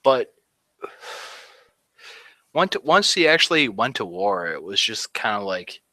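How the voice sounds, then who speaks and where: Low, bright-voiced veteran man. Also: big pitch swings, slow pace, no echo. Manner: rambling, many "like" fillers, laughs at grim moments. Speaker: Army veteran guest. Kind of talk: casual interview talk.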